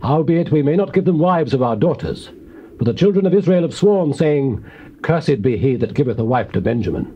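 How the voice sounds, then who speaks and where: An actor playing a solemn elder of Israel giving measured, authoritative counsel in a Bible audio drama. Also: male voice, fairly high pitch, animated, warm tone, husky. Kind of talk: dramatized scripture speech. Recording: muffled hissy old cassette tape.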